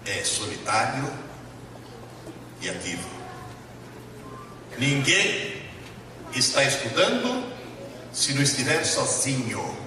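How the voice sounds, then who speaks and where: Male lecturer, very lively intonation, emphatic pauses, heavy hall reverb, clearly noisy recording. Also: high voice. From Italy. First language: Italian. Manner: emphatic, with dramatic pauses and repetition. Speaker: professor giving a lecture. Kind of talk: motivational educational lecture to a live audience. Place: large lecture hall with an audience. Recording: distant mic, echoey noisy hall.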